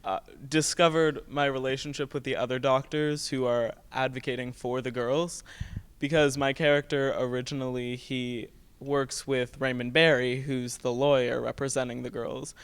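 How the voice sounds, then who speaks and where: Teenage boy with a creaky voice. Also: mid-range voice, level tone, normal speed. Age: teens. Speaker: student actor being interviewed. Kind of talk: casual interview answer.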